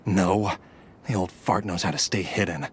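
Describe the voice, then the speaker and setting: Man playing a bitter, low-voiced entitled son. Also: normal speed, a little hiss or hum, lightly acted. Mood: scornful. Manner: bitter, sneering, self-justifying. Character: resentful, entitled grown son scheming for his inheritance.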